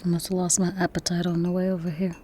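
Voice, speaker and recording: An actress playing a proud, defiant young student activist in an audio drama, with an American accent and an articulate, husky delivery. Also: female voice, on the low side, little pitch movement, quick pace, warm tone, a little hiss or hum, close to an everyday voice. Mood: dejected. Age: young adult.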